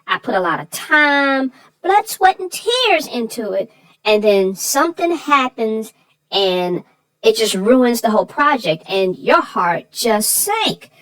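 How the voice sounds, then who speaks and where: A woman's voice: fairly high pitch, fairly bright tone, rough recording. A woman co-hosting a children's story show, chatting casually in a playful, mock-dramatic way. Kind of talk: casual chat.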